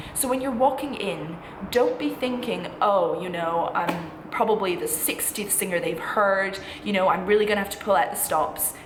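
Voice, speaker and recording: Echoey, reassuring young woman vlogger. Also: mid-range voice. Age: 20s. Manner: informal, reassuring, encouraging. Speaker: vlogger, music college postgraduate singing student. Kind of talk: chatty advice vlog to camera. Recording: echoey room with clear background noise.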